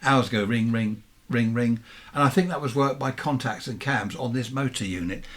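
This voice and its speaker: Husky older southern English man, chatting casually. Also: medium pitch, fairly quick, a bit bright. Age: 70s. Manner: rambling, chatty, self-questioning with tag questions. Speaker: amateur radio hobbyist presenting vintage equipment. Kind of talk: casual, unscripted show-and-tell.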